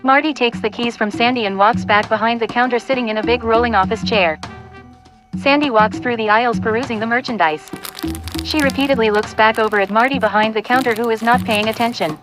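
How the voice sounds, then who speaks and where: A woman's voice: on the high side, fairly quick, over music. A woman reading scripted narration and stage directions for an audio play.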